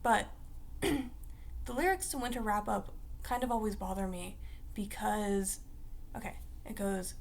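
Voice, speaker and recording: A young woman vlogging in a casual, rambling way, her voice raspy because she just woke up. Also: mid-range voice, measured pace, long pauses, a little hiss or hum. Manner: rambling, chatty, full of fillers like "like" and "uh". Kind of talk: casual talk to camera.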